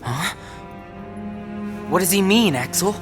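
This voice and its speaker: A man's voice: high-pitched, expressive, slow pace, over music. An actor playing an earnest, vulnerable teenage boy in a video game, youthful and sincere. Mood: confused. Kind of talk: video game cutscene voice acting.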